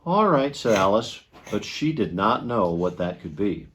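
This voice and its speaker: A man reading a children's picture book aloud in an expressive storytelling style. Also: deep voice, unhurried, slight background noise.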